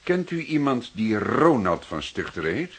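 An actor playing a composed, probing police detective in a Dutch radio play, speaking formally and deliberately with courteous insistence. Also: male voice, mid-range voice, big pitch swings, breathy edge. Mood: firm.

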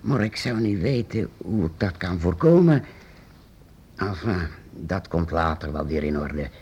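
An actor playing a secretive, eccentric master painter-inventor in a radio play, hesitant and halting, then urgent. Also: male voice, low voice, expressive, a little mellow, lo-fi sound, slight background noise. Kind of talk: radio drama acting.

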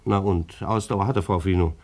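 An actor in a radio play playing a plain-spoken, law-abiding bailiff with a hesitant, conversational manner. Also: male voice, low-pitched, steady tone, moderate pace, darkish tone. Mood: defensive.

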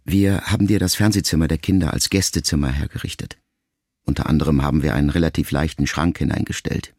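A man acting in a radio play as a grown son addressing his mother in a sombre, tender monologue, measured and deliberate. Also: very deep voice, fairly even intonation, a little mellow, no echo, studio quality. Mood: reflective, pained.

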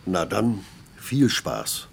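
Gravelly old factory foreman. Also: low-pitched, sing-song, drawn-out words, a little hiss or hum, exaggerated voice. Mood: gruff, sardonic. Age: older. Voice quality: gravelly, husky. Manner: sardonic, needling. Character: older, gruff, needling factory foreman, old master craftsman. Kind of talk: German radio play acting.

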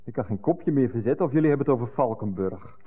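An actor playing an irritable, evasive and jealous Dutch lover with a Dutch accent, blustering self-importantly in a radio play. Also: male voice, mid-range voice, lively intonation, fast talker, warm tone, long reverb tail, close to an everyday voice. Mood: defensive, pompous.

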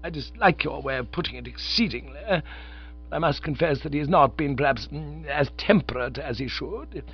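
A man's voice: fairly high pitch, normal speed, husky, faint music behind, pitched above the performer's own voice. A man voicing a stern, pompous middle-aged aunt who lectures loftily and stalls over delicate words. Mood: imperious. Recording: slightly dull lo-fi recording.

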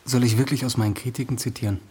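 A young male playwright in a radio drama. The voice is husky and slightly rough. He argues earnestly and pointedly, proud and quick to take offence. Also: mid-range voice, fairly even intonation, dark tone. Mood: indignant. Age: young adult. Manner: argumentative, indignant, pointed. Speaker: actor in a radio play. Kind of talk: German radio drama dialogue.

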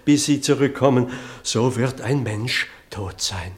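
A man's voice: medium pitch, measured pace, close to an everyday voice. A man playing a shy, very old country clergyman in a German radio play, hesitant and formally apologetic, repeating himself in agitation. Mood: pleading, insistent. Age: older.

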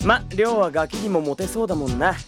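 An actor playing a brash, hot-tempered young man with rough, slangy, cocky speech in a Japanese audio drama. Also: high voice, expressive, fast, over music. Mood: cocky, grumbling.

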